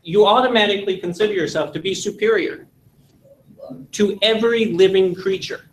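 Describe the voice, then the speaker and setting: A man from Texas in his forties, giving an animated classroom lecture and telling jokes in a seminary meeting room. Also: sits high, normal speed, slight background noise, low-quality recording. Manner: animated storytelling with jokes, gesturing. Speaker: seminar instructor.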